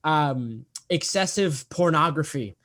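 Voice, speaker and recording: Young dude in his 20s, earnest, kinda high voice, repeats stuff a lot. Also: animated, slow, fairly bright tone. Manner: earnest, repeats himself, many fillers. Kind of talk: casual interview talk.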